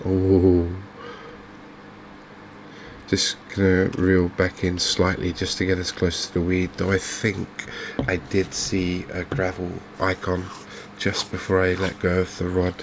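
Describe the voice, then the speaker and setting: A man with an English accent giving gentle, unhurried, softly spoken ASMR commentary on a video game. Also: low-pitched, completely flat delivery, background noise. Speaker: gaming video host. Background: fishing game ambience.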